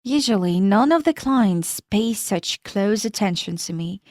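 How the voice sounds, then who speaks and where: A woman's voice: medium pitch, lively intonation, unhurried, darkish tone, no room sound, clean studio sound. A woman narrating a first-person life story in a plain, matter-of-fact reading.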